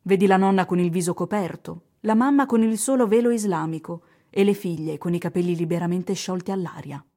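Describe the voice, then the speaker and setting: Woman, mid-range pitch, measured literary narration, radio theatre recitation, evocative phrasing. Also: mellow. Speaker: reader in a radio theatre production. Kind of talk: dramatic literary narration, read from a script.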